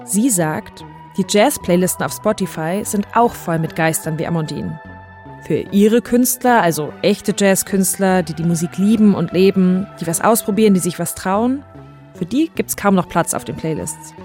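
A woman's voice: fairly low pitch, moderate pace, a little mellow. Woman podcast host, conversational scripted narration, music playing under her.

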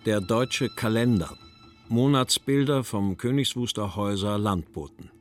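An actor reading as a sober, earnest male writer, in a deliberate, measured recitation for a literary radio feature. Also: lowish voice, soft background music, lightly acted. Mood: announcing. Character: male writer, sober, earnest, read from his letters and verses. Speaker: quotation reader.

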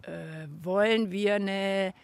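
A woman's voice: medium pitch, takes it slowly, dry recording. A woman co-hosting a children's radio show outdoors in a park, curious and playful. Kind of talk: lively kids' show presenting.

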